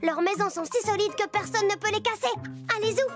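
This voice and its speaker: Excitable, very high-voiced little girl storyteller. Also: a little fast, over loud music. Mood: excited, playful. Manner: chatty, exclamatory. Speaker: narrator. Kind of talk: children's cartoon storytelling.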